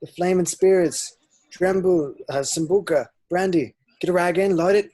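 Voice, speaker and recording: A man's voice: high voice, normal speed, mellow. A man playing a laid-back, sardonic slacker best mate in an amateur table read, offhand and blunt. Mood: suggesting.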